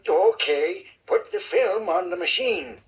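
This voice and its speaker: A theatrical fraud of a wizard, pitched high and swooping widely. He draws out his grand incantations for a children's story tape. The sound is slightly muffled. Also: lively intonation, unhurried. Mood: sly, businesslike. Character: showy male wizard, secretly a sly fraud. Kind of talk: children's audio story acting.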